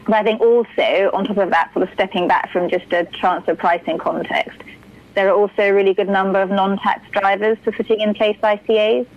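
A woman giving a measured explanation as an expert guest in an interview. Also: mid-range voice, fairly even intonation. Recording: slightly muffled call audio.

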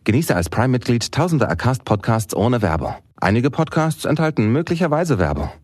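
A man reading a scripted ad in a lively promotional tone. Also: medium pitch, brisk, dry recording, studio quality. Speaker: ad announcer. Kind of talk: scripted ad voice-over.

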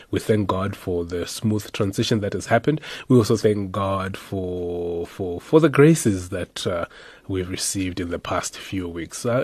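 A priest hosting a radio drive show, talking in a hoarse, croaky voice from a cold, upbeat and pausing often on fillers. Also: male voice, deep voice, darkish tone, dry recording. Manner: upbeat, with many filler pauses. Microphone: studio microphone.

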